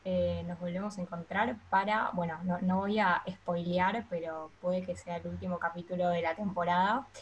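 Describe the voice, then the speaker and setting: A woman's voice: on the low side, fairly even intonation, normal speed, few pauses, dull audio, slight background noise. A woman with a Rioplatense accent hosting a podcast, introducing co-hosts and a guest in an easygoing way. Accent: Rioplatense Spanish. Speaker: podcast host.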